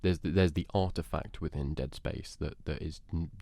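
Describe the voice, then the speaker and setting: A man casually debating films and games as a podcast host, in a halting way that repeats and piles up clauses. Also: a bass voice, steady tone, vocal fry, warm tone, no echo.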